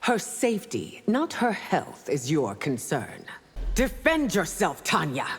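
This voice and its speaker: A woman's voice: deep voice, huge pitch swings, slow, husky, heavily acted. An actress voicing a stern, regal empress and protective mother in a fighting game, formal and commanding. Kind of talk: video game fight intro dialogue. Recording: long hall reverb.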